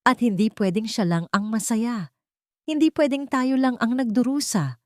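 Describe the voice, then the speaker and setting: Narrator lady doing a shrill bitter girlfriend in an audio drama, accusing and dramatic, dry close recording. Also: normal speed, darkish tone, no room sound, close to an everyday voice. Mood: vengeful. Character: bitter, scornful young woman, resentful lover.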